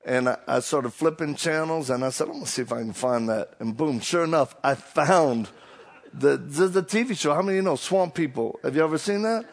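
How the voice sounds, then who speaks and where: Cajun preacher, middle-aged man, gravelly voice, hyped and joking on stage. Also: fairly high pitch, a little fast. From Louisiana. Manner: hyped up, joking, self-deprecating storyteller. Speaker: preacher and motivational speaker. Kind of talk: humorous stage talk to a live audience.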